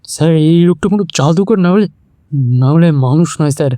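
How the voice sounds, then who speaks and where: A male actor plays a police constable in an audio drama. His voice is fairly high and dry, officious and easily flustered. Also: quick pace, warm tone, no echo, natural voice. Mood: anxious, pleading. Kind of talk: Bengali audio drama acting.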